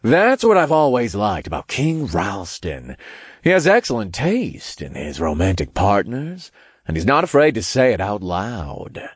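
A man's voice: fairly low pitch, wildly swooping pitch, unhurried, breathy edge, no room sound, studio quality, full character voice. Man acting a suave, sly demon. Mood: amused. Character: suave, scoffing male demon.

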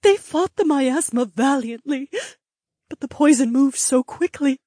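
A tender lynx mother acted by a woman, with a high, emotional voice. She speaks in a drawn-out maternal way in a fantasy audiobook, recorded very dry. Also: warm tone, no echo. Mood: grieving.

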